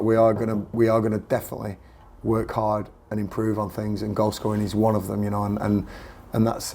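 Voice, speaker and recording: A man, a football manager speaking after a match with a croaky voice, earnest and repeating himself as he searches for words. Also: lowish voice, a little mellow, slight background noise. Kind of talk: post-match press interview.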